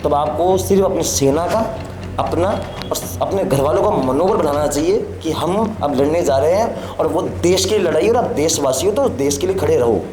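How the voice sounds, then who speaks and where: A man's voice: fairly high pitch, expressive, normal speed, noisy background. A man with an Indian accent giving blunt, emphatic opinions in casual chat, often repeating himself. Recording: echoey room with crowd chatter.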